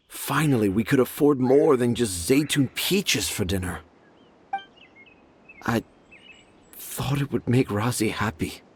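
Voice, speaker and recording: A man's voice: mid-range voice, a little hiss or hum, natural voice. An actor playing a remorseful middle-aged father and humble storyteller in a video game cutscene. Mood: regretful, reflective. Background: music.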